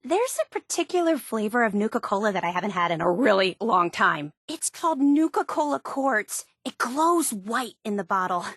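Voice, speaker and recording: An obsessive, wide-eyed young woman fan in a video game. Her voice is high and very animated, recorded close and dry. She speaks with gushing, earnest enthusiasm. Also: normal speed, hardly any pauses, husky, no room sound, heavily acted. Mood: eager, confiding. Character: bubbly, obsessive young woman superfan of a soda theme park. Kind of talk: video game character voice acting.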